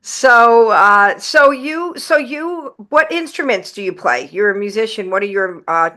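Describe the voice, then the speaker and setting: A woman's voice: on the high side, animated, unhurried, bright, forceful tone. A woman hosting an interview show, enthusiastic and chatty, often laughing as she asks questions. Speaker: podcast host. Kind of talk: casual interview chat.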